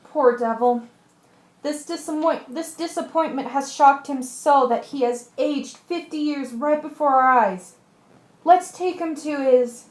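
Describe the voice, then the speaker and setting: A woman acts a ship's mate in an amateur radio play, firm and full of schemes. The voice is high and earnest, taking command of the crew. The room rings with echo. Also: unhurried. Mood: concerned, shocked. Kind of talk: amateur radio drama acting. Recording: echoey room.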